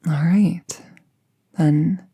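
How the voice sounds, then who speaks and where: Soft, husky apprentice witch played by a woman. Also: low voice, fairly even intonation, very slow, exaggerated voice. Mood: reassuring. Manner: soft-spoken, breathy, careful and reassuring. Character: gentle, reassuring young apprentice witch casting a spell. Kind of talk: intimate roleplay audio drama.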